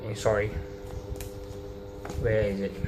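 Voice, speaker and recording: Halting man with noisy background hum. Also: lowish voice, little pitch movement. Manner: halting, hesitant delivery with repeated phrases. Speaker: trading card deck profile presenter. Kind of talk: informal card-by-card explanation. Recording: clearly noisy recording with hum.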